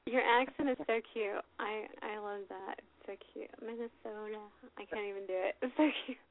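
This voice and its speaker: A woman's voice: mid-range voice, steady tone, normal speed. A woman hosting a casual radio interview, bubbly and chatty, laughing often between questions. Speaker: radio show host. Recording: phone-like lo-fi audio.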